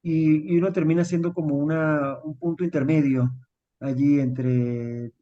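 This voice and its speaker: A man's voice: a little high, steady tone, a little slow, muffled recording. A young Venezuelan man with a Venezuelan accent touched by Mexican speech, a writer talking as a guest, thoughtful and full of fillers. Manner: thoughtful, many fillers like "este" and "pues". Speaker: author guest. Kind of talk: interview.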